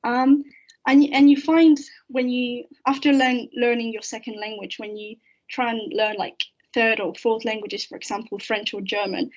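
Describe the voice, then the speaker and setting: A teenage student from Spain, a native Spanish speaker, answering hesitantly with many pauses and restarts on a video call. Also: female voice, on the high side, fairly even intonation. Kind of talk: casual video-call discussion. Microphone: laptop microphone on a video call. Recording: dull lo-fi laptop audio.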